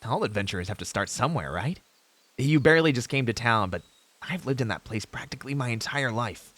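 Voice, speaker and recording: A man's voice: mid-range voice, animated, brisk, fairly bright tone, dry recording. A man playing an earnest, idealistic young novice hero in a fantasy audio roleplay, speaking in formal heroic phrases with hesitant asides to a companion. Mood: sincere, wistful.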